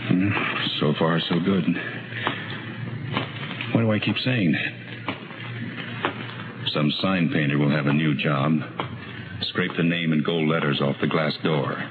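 Deep-voiced brooding murderer in old radio thriller. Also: male voice, noisy background. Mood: brooding, menacing. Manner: brooding, dramatic, deliberate phrasing. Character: desperate, bitter blackmailed man turned murderer, narrating. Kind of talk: 1950s radio thriller acting, first-person narration. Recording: muffled old radio recording with music behind.

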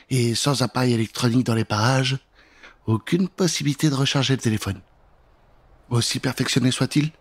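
A man in his thirties telling a children's tale in an expressive, theatrical style, close to the microphone. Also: mid-range voice, husky, no echo. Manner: expressive, dramatic. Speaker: storyteller. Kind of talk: theatrical scripted storytelling for children. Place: indoor recording setup. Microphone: close microphone with pop filter.

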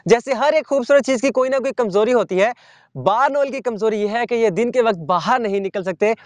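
A young man hosting a wildlife channel, explaining calmly to the viewer while sitting on open sandy ground outdoors. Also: extremely high pitch, dry recording. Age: young adult. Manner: measured, teacherly, addresses viewer directly.

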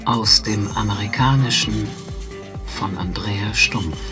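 A man's voice: fairly low pitch, slow pace, music in the background. A man reading scripted radio credits as an announcer, in a formal, neutral manner.

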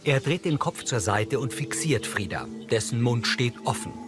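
A man's voice: medium pitch, lively intonation, moderate pace, music in the background. A man reading scripted audio description narration for a TV drama.

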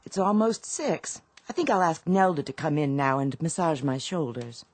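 A woman's voice: low voice, lively intonation, normal speed, darkish tone, no echo. An actress playing a doting, contented mother in an audio drama, cooing genteel endearments. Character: doting, contented mother in a too-perfect household.

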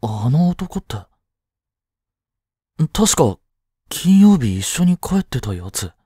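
A man acts out a high school boy in a romantic audio drama, the childhood friend with a jealous streak. He is blunt and casual but easily flustered. His delivery takes its time, with a very mobile pitch, in a close, dry recording. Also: sing-song, takes it slowly, warm tone, slightly rough, no echo, heavily acted. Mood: jealous, irritated. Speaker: voice drama actor. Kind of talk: Japanese audio drama acting for women listeners.

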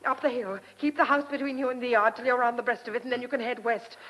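A high-voiced, earnest farm girl. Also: steady tone, quick pace, a bit bright, rough recording. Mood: urgent. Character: earnest, anxious young farmer's daughter, devoted. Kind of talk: radio drama acting.

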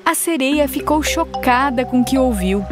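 A woman narrates a children's cartoon fairy tale in a steady, expressive storytelling manner. Her voice sits a bit high. Background music runs throughout. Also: normal speed.

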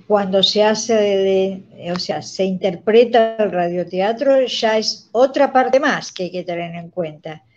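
Older woman, Argentine accent, explanatory talk, mid-pitched, dull lo-fi video call sound. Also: measured pace, hardly any pauses. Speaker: radio drama director, guest. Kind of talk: explanatory conversation. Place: indoor room, on a video call. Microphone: video call device microphone.

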